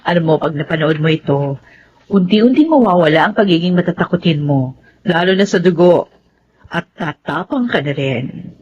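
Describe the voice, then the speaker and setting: A woman's voice: lowish voice, lively intonation, normal speed, a little mellow, breathy edge. An actress playing a doting but firm mother in a radio drama. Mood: gentle, coaxing. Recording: dull, lo-fi radio sound.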